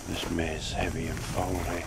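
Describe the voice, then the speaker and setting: A man with a very deep voice talks in a hushed, blunt half-whisper and swears now and then. He was recorded on a handheld camcorder in dark barns at night, so the audio is noisy with a clear hiss behind him. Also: little pitch movement, normal speed. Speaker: undercover animal welfare investigator. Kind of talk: hushed running commentary while filming.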